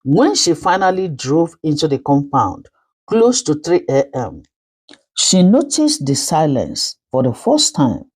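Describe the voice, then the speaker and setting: Woman, folktale narration, measured and dramatic, unhurried, highly expressive intonation. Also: slightly rough. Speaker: storyteller. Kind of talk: scripted storytelling narration of a folktale.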